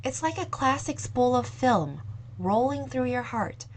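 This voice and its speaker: A woman's voice: sits high, big pitch swings, unhurried, a little hiss or hum, heavily acted. A woman reading the part of a lonely, reflective older bachelor who speaks politely and thoughtfully. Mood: reminiscent. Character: reflective older bachelor man, gentle and wistful.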